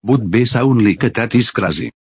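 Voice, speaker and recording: A man delivering amateur cartoon dialogue with loud music playing. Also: medium pitch, normal speed, very noisy.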